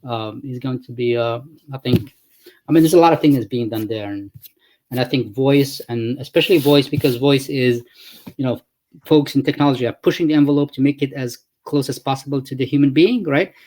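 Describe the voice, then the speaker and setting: A man's voice: mid-range voice, brisk, a little mellow, poor audio. A man from Morocco hosting a casual video-call interview, musing aloud and often tagging his points with "right?". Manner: thoughtful, rambling, frequent "right?" tags. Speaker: interviewer, company founder.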